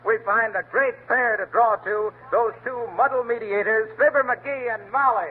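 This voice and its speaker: A man's voice: extremely high pitch, steady tone, normal speed. A man announcing a 1930s radio show and reading its commercial, emphatic and salesman-like. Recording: tinny lo-fi old radio broadcast, faint hiss.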